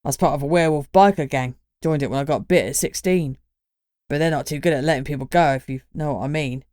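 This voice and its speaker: A man's voice: a little high, a little mellow, dry recording, clean studio sound, pitched below the performer's own voice, natural voice. Woman voicing a cheeky young Cockney lad. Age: young adult. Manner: cheeky, laid-back, quick talk.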